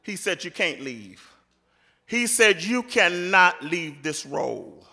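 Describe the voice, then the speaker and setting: A man's voice: high voice, big pitch swings, slow pace, gravelly, sharp tone. Middle-aged man telling a story in an echoey church. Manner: retelling a story with many quoted lines, some stammering. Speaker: speaker at a church program. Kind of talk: storytelling speech. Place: large church sanctuary. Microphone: handheld microphone at a podium. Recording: echoey church hall with faint music.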